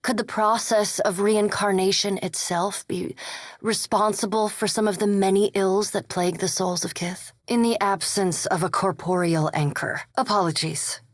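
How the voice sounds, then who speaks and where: Dry, formal undead scholar played by an actress. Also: female voice, mid-range voice, steady tone, moderate pace, dry recording, lightly acted. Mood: measured, scholarly. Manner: formal, precise wording, dry wit. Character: courteous, scholarly undead elf animancer, wry adventuring woman. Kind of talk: video game character dialogue and barks.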